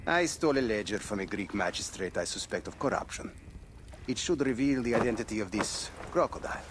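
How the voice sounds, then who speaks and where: A man's voice: lowish voice, expressive, fairly quick, noisy background, lightly acted. An actor playing a weary, wary rebel father on the run in a video game cutscene. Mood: grave, wary. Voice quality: wary and grave.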